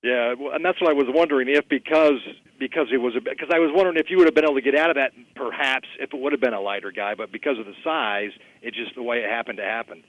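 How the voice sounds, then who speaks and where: A man's voice: mid-range voice, fast talker, hardly any pauses, no room sound, dull audio. A man, a sports reporter, asking polite, rambling, tentative questions unscripted on a conference call.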